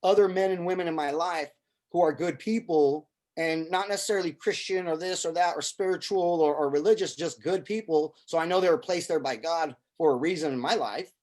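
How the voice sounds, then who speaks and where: A man's voice: fairly high pitch, a little fast, a little mellow. A middle-aged man from Syria, a film producer and army veteran, talking earnestly in a casual conversation and often repeating words as he starts a thought.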